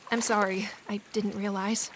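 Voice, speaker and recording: An actress playing a modest, warm-hearted young lady who speaks in a proper, hesitant way, in a period audio drama. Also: medium pitch, level tone, moderate pace, noisy background, close to an everyday voice. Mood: flustered.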